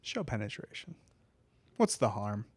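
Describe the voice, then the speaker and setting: A man's voice: on the low side, big pitch swings, measured pace, breathy edge, dry recording. A man co-hosting a casual comedic podcast, blunt and crude with opinionated jokes. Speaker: podcast co-host reacting to the story.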